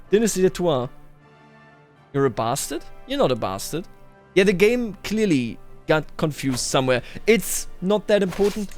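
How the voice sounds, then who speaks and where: Theatrical gaming man, slightly high voice. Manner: talks to game characters and himself, theatrical declarations. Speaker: gaming YouTuber. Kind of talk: roleplay strategy game commentary.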